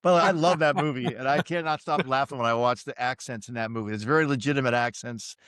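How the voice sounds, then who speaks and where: A man with a Boston accent talks through his past in a relaxed, self-deprecating way, often pausing on fillers. His voice is smooth and resonant. The sound is close and dry. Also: mid-range voice, no echo. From suburban Boston, USA. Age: 50s. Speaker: podcast guest, retired Navy pilot. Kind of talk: casual interview storytelling.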